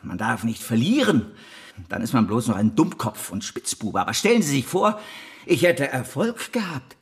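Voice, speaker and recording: An actor in a German radio drama playing a disgraced, imprisoned financier, ruthless, self-pitying and grandiose, in a halting theatrical way. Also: male voice, mid-range voice, very animated. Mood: indignant, boastful. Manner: theatrical, halting, swinging between pleading and boasting.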